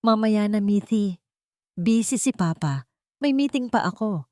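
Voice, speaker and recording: A woman's voice: mid-range voice, expressive, unhurried, a little mellow, no room sound, clean studio sound, pitched above the performer's own voice, lightly acted. A woman voicing a proud, wronged father, anguished and defiant, in an emotional audio drama. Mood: dismissive. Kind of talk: melodramatic audio drama acting.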